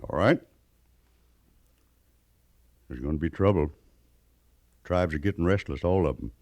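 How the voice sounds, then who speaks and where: Low, folksy old-west mountain man. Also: measured pace, darkish tone, dry recording. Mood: grave, urgent. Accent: American. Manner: folksy frontier drawl, rustic grammar. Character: weathered mountain man scout, plainspoken, living among the Arapaho. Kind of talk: 1950s western radio drama acting.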